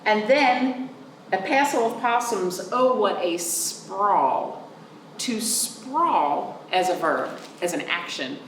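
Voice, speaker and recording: A woman reading aloud to young children in an expressive, sing-song way, drawing out words and speaking simply and clearly for them. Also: medium pitch, slow. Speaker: children's librarian, storytime reader. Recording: roomy echo, distant mic, faint noise.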